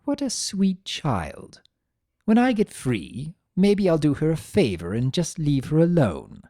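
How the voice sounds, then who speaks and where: Performer playing a sardonic male chaos spirit, swooping intonation, mellow tone, grumbling, theatrical, first-person storytelling. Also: medium pitch, huge pitch swings, measured pace, dry recording, studio quality, pitched above the performer's own voice. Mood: wry, reflective. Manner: wry, theatrical, sarcastic.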